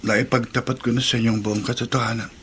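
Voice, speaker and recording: A man's voice: medium pitch, flat delivery, fast, background noise, lightly acted. An actor playing Jester, an earnest young man who respectfully pleads with his parents and resolves to defend his lover. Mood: pleading. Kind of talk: Filipino radio-style drama acting.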